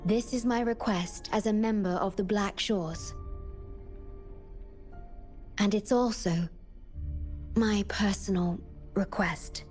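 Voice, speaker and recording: A woman's voice: mid-range voice, steady tone, slow, long pauses, darkish tone, soft background music, close to an everyday voice. A woman playing a composed, devoted guide in a video game, speaking formally and carefully. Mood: grave, composed. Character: composed, devoted woman guiding a companion on a mission.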